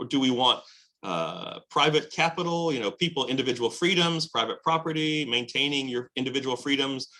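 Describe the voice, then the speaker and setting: A man lecturing on world history, with lively emphasis and frequent fillers and restarts. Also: on the high side, rough recording. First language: English. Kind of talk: recorded lecture.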